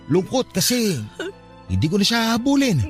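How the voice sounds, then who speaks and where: A man's voice: high-pitched, very animated, normal speed, soft background music. A man acting an earnest, wounded young man in an emotional, pleading style for a radio romance drama. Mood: anguished relief.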